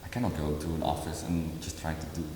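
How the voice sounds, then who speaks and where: Deep-voiced halting man in an echoey hall. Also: flat delivery, mellow. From Indonesia. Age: 40s. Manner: halting, many fillers and restarts. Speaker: artist. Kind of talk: interview. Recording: echoey hall with faint noise.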